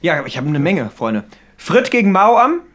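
Male podcast host, high-pitched voice, informal and playful, moderating a party game. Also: very animated, normal speed, fairly bright tone. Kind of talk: casual chat, moderating a game among friends.

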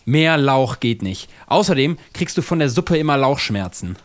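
A man playing a loyal, teasing friend in a comedic adventure radio play, with a husky voice. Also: medium pitch, animated, normal speed, close to an everyday voice.

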